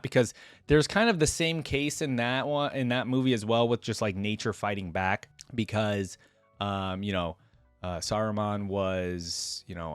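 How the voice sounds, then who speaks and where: Low-voiced man in casual reaction commentary. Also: no echo. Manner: rambling, frequent "like" and "you know". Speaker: YouTube reaction host. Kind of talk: casual reaction commentary and read-aloud story.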